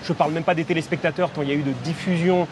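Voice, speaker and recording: A man's voice: fairly high pitch, steady tone, very fast, background noise. A man presenting a film segment on a talk show, enthusiastic and full of restarts. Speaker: film columnist. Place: outdoors at a café terrace.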